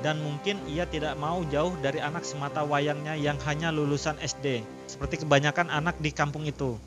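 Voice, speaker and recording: Man, fairly high voice, horror storyteller, unhurried reading, asides to viewers, clear background music. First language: not Javanese; Javanese not fluent. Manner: slow, savouring read-aloud with asides to viewers. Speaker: storytelling content creator. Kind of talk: horror story narration with personal commentary.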